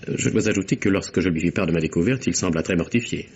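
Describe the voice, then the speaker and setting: An actor playing an earnest secretary in a vintage French radio mystery, speaking in a measured, formal and precise way. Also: male voice, low-pitched. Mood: grave, composed. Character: earnest male secretary recounting a deadly affair. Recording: dull vintage radio recording, faint hiss.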